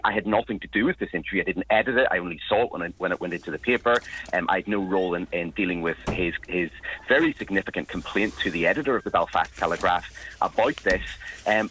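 A man, a journalist interviewed on radio, arguing his point forcefully and with indignation. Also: sits low, slightly rough, no room sound, poor audio. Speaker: journalist guest.

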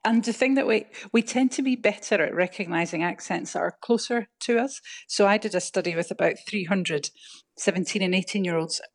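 A woman's voice: mid-range voice, a little fast. A middle-aged woman with a Scottish accent, a professor explaining ideas thoughtfully in a radio interview, with many fillers. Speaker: linguistics professor, guest.

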